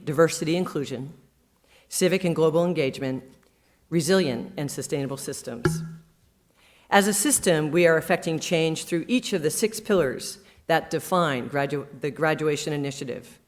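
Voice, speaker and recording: A woman's voice: low-pitched, moderate pace. A woman opens a university staff day with formal remarks read from a script. She goes on to introduce the first speaker. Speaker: conference host. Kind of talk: prepared opening remarks.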